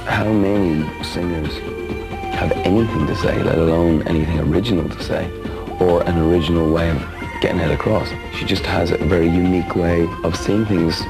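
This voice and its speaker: A man's voice: low voice, steady tone, moderate pace, vocal fry, over music. A man giving commentary in a documentary.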